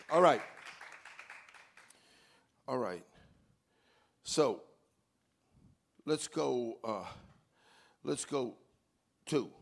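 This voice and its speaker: Gravelly emphatic male pastor. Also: medium pitch. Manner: emphatic, swings from quiet to loud. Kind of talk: sermon with interview on stage.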